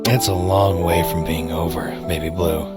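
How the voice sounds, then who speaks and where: A man's voice: sits low, fairly even intonation, normal speed, lightly acted. A man playing a protective, laid-back young boyfriend in a game cutscene, with a casual, dry delivery. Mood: caring. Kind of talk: fan game cutscene voice acting. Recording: loud music over the voice.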